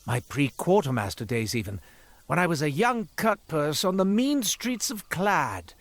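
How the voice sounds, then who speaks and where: An actor playing a beleaguered, sardonic ex-thief shopkeeper in a video game, rambling theatrically with exasperated sarcasm. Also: male voice, on the high side, sing-song, a little slow, fairly bright tone, no echo. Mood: wistful boasting. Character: beleaguered, sardonic, cursed former thief turned quartermaster shopkeeper. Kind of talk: theatrical scripted character dialogue.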